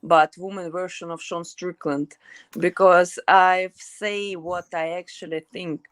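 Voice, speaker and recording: A woman's voice: fairly low pitch, fairly even intonation, measured pace, smooth, dry recording. A young woman fighter with a Ukrainian accent, speaking blunt, broken English as a guest in a casual interview.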